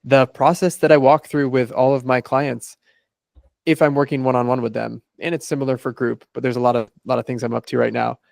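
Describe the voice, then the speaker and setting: Gravelly-voiced man explaining in steps. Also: medium pitch, little pitch movement, fairly quick, darkish tone, no echo, studio quality. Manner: methodical, lays out numbered steps. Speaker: life coach guest. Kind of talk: podcast interview.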